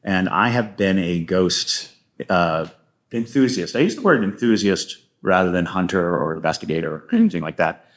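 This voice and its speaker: Low-voiced man chatting as an interview guest. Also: expressive, moderate pace, fairly bright tone. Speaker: guest playwright and podcaster. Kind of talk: casual interview chat.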